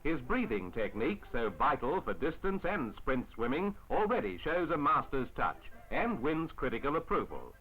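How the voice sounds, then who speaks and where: A man narrating a 1950s newsreel in a formal, measured announcer style on an old film soundtrack. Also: mid-range voice, big pitch swings, narrow, tinny audio. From Australia. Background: music.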